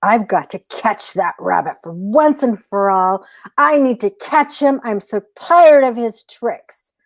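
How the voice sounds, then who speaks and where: A woman's voice: a little high, expressive, measured pace, dry recording. A woman voicing a gullible male coyote who is tired of being tricked, in a told folk tale. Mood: determined.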